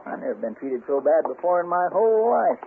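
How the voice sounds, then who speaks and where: A man's voice: sits high, very animated, brisk, creaky, exaggerated voice. A man playing a simple, loyal frontier sidekick in a radio western, with a rural American drawl and a plaintive, folksy manner. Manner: folksy, complaining, naive. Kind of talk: old-time radio western drama acting. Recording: hissy, narrow old radio broadcast recording.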